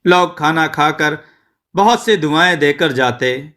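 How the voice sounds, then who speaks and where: Male narrator, moral storytelling, slow delivery, bright forceful tone, slightly high pitch, measured phrasing.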